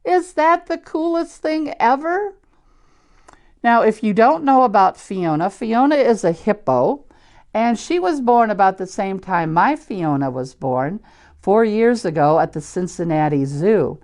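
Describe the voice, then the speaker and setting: An older woman with an American Midwestern accent reads and chats to children as a storyteller, in simple, deliberate wording with questions to her listeners. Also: medium pitch, lively intonation, darkish tone. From Iowa, United States. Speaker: church storyteller reading picture books to children. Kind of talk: children's read-aloud with chatty asides.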